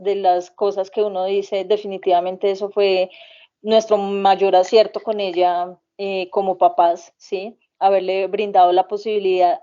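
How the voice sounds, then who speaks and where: Chatty woman telling family stories. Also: mid-range voice. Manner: animated, rambling, laughs often, frequent fillers. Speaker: podcast guest, mother recounting family migration. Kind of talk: casual conversational storytelling. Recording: slightly muffled podcast audio.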